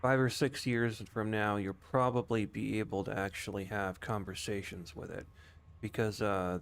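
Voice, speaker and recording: A man's voice: fairly low pitch, vocal fry. A man streaming a game with casual, rambling, conversational commentary.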